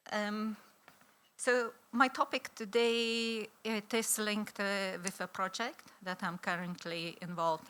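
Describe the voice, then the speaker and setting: A woman's voice: mid-range voice, a bit bright. A middle-aged woman from Lithuania with a Lithuanian accent, giving an academic conference talk in a chatty way with frequent ums and restarts. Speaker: conference speaker, law professor.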